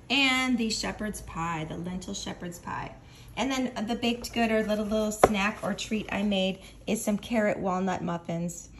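A woman's voice: medium pitch, a little hiss or hum. A woman in her forties hosting a casual cooking vlog, chatty and enthusiastic, filmed in her home kitchen on a close phone camera. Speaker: vlogger and nutrition coach.

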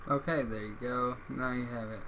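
A man giving a casual software tutorial in short, offhand step-by-step instructions. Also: mid-range voice, steady tone, normal speed, a crowd in the background. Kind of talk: casual screen-recorded tutorial. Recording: noisy home microphone screen recording.

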